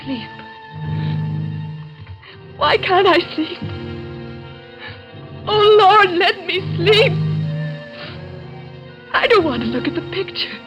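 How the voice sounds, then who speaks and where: An actress playing a fragile, terrified young woman near madness in a radio suspense play, repeating herself in halting, pleading words. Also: very high-pitched, lively intonation, highs cut off, over music, full character voice. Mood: hysterical panic. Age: young adult. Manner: halting, repeating, panicky self-talk. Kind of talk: 1940s radio suspense acting. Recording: old radio drama recording.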